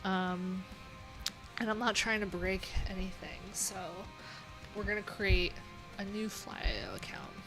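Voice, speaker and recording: A woman's voice: medium pitch, fairly even intonation, measured pace. A young adult woman hosting a coding livestream, talking casually and rambling with lots of fillers, with faint music in the background. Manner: chatty, rambling, many "like"s and "um"s.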